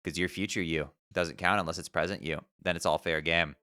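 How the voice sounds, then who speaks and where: A man voicing a mopey, self-pitying teenage troll boy who talks low and sulky. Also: steady tone, a little fast, bright, forceful tone, clean tone, no echo, clean studio sound.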